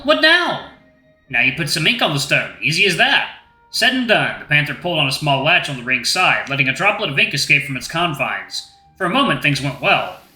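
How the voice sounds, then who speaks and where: A man's voice: a little high, pushed and bright. A man hosting a gaming channel, reading a visual novel aloud with casual asides to viewers. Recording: echoey room, faint music.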